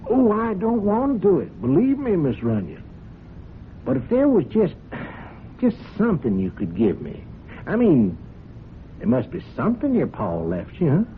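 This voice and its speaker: A man's voice: wildly swooping pitch, normal speed, long pauses. Male radio-drama role: folksy, ingratiating ranch-country blackmailer who wheedles hesitantly; narrow-band, phone-like sound. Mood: wheedling. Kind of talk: old-time radio drama acting. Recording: muffled narrow-band radio, rumbling background noise.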